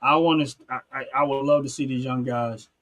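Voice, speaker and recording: A man hosts a casual talk show at an everyday middle pitch. He speaks earnestly, often restarting his sentences and dropping in street phrasing like "real talk.". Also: steady tone, brisk, fairly bright tone. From North Philadelphia, USA. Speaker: podcast host.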